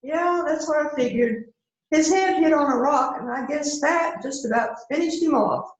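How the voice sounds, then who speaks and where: A woman's voice: high voice, moderate pace, natural voice. A woman playing a small, mournful young female suspect in an amateur readers theater mystery, in an echoey room. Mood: earnest, mournful.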